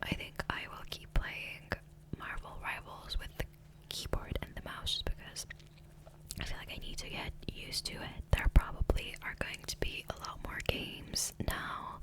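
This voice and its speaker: Softly whispering ASMR woman. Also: moderate pace. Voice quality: breathy unvoiced whisper. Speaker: ASMR gaming video creator. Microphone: close USB condenser microphone.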